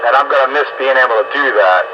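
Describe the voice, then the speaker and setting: A man chatting casually as a radio hobbyist over two-way radio, folksy and halting, with a grumbling way of talking. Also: medium pitch. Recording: muffled, noisy two-way radio transmission.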